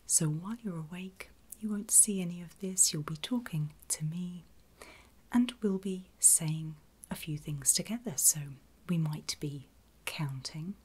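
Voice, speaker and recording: A woman whispering in a breathy, gentle and reassuring way, unhurried, as a nurse in an ASMR medical roleplay. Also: lowish voice. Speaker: ASMR performer playing a surgical nurse.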